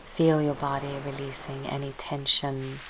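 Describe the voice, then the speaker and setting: Slow, low-voiced woman guiding relaxation. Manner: soothing, soft, breathy instruction with long pauses. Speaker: yoga relaxation guide. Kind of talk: guided relaxation meditation. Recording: steady ocean-wave noise behind voice. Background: sound of sea waves.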